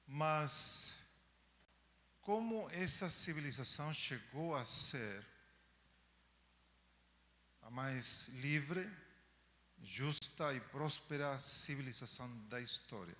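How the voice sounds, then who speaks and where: A man from Chile gives a university lecture in a second language, his Chilean Spanish accent clear throughout. He speaks slowly and leaves plenty of pauses. His voice is bright and forceful, with long reverb from a large hall. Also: mid-range voice, lively intonation. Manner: unhurried, pausing often, with frequent "ah" and "não?". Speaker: guest lecturer. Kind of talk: academic talk to a student audience.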